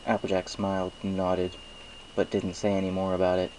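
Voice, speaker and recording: A man's voice: low voice, monotone, a little fast, mellow, a little hiss or hum, low-quality recording. A man reading a first-person story aloud as its narrator. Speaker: amateur story reader.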